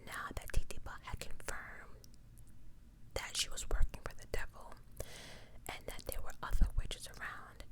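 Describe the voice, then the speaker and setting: ASMR host, pure soft whisper throughout, true-crime narration broken up by casual slangy commentary. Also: normal speed. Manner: casual, slangy asides between read passages.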